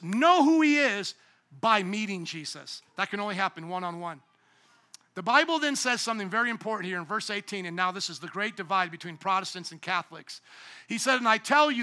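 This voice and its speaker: A man's voice: very high-pitched, bright, forceful tone. A man preaching a sermon as pastor in a church, emphatic and playful, calling on the congregation to repeat words back to him. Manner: emphatic, calls for congregation responses; playful, jokes at himself. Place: church sanctuary.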